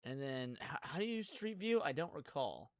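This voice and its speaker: A man's voice: on the high side, sing-song, normal speed, no room sound. A man giving casual live reaction commentary to viewers, thinking aloud in an informal way. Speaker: streamer reacting to a video series.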